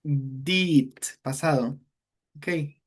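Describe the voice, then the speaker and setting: Guy teaching english grammar, slow, switches to to explain. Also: fairly high pitch, breathy edge. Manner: slow, explains in English then repeats in Spanish. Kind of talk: online grammar lesson.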